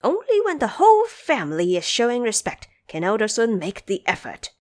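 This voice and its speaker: A woman's voice: fairly high pitch, wildly swooping pitch, normal speed, darkish tone, no room sound, studio quality. A woman voicing a mischievous, boastful male monkey king in a storybook reading, teasing and bossy in manner. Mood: lively boastful.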